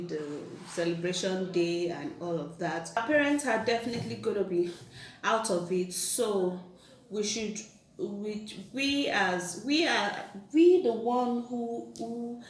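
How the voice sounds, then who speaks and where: A chatty woman from Nigeria talks with her husband, reining in a loud voice and circling back on her words. She sits a little off the mic in a roomy, echoing space with a faint hiss underneath. Her pitch sits mid-range. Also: far from the mic. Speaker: vlogger co-host. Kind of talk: casual couple chat.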